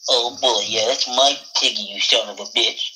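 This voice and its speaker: A man's voice: medium pitch, normal speed, bright, forceful tone, voice pushed down, poor audio. A man voicing a grumpy, lecherous male cartoon dog with a folksy, taunting drawl.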